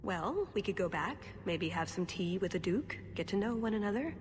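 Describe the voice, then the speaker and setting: Actress as a composed, determined elf spy, echoing reverb. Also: female voice, mid-range voice, animated, moderate pace, a little hiss or hum, natural voice. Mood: sly. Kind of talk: video game cutscene acting.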